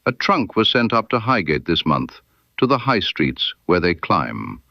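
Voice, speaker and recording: A man's voice: deep voice, big pitch swings, measured pace, creaky, dry recording, lo-fi sound, full character voice. A man voicing an incisive, composed Victorian detective in a mystery story, with precise, formal, probing speech.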